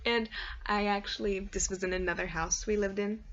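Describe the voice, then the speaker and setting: A woman chatting casually and ramblingly to her viewers, with an animated, dramatic storytelling manner. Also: medium pitch, moderate pace. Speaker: vlogger and hobby story writer. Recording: dull lo-fi home recording.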